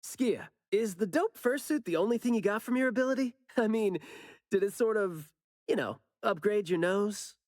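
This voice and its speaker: A woman's voice: mid-range voice, normal speed, darkish tone, no room sound, clean studio sound, natural voice. A woman playing a chatty, easygoing investigator who teases companions in a video game. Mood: teasing. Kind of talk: conversational video game dialogue.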